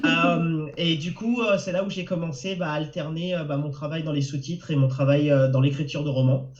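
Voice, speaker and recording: A man's voice: a little high, fairly even intonation, a little fast, echoey room. A man from France with a cold, a novelist answering webinar questions with lots of hesitant fillers and restarts over a muffled video call. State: has a cold. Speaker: novelist guest.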